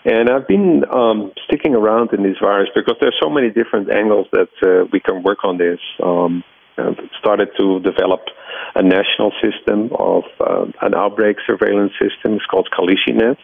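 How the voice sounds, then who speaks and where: Middle-aged male scientist, Dutch accent, gravelly low voice, halting explanatory interview delivery. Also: steady tone, no room sound, phone-quality sound. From Netherlands. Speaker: research scientist and laboratory head, interviewee.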